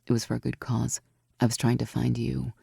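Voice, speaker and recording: A woman voicing a strong, protective warrior woman who speaks low and soft in a storybook. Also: completely flat delivery, a little fast, creaky, breathy edge, no room sound, clean studio sound, heavily acted.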